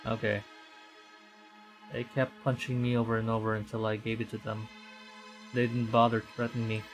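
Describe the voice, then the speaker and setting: A man's voice: fairly low pitch, flat delivery, moderate pace, over music, close to an everyday voice. A man voicing a meek, polite, down-on-his-luck boy in a visual novel, mild and apologetic. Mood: resigned, mild.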